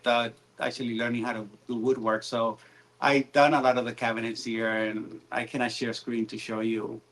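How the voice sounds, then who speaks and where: A man's voice: mid-range voice, a bit bright, rough recording. A man joining a casual live stream as a guest, telling stories in a chatty, meandering way with fillers. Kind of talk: casual storytelling chat.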